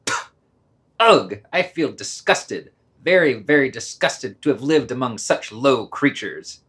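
Casting: self-righteous scientist master played by a man, indignant and theatrical, very animated pitch, for a radio play. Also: unhurried. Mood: pompous, exasperated. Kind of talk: amateur radio play reading.

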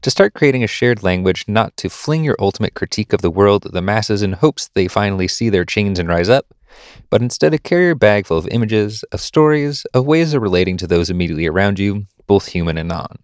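A man's voice: on the low side, expressive, fairly quick, no room sound, studio quality. A man presenting a podcast, reading a scripted essay and news items aloud.

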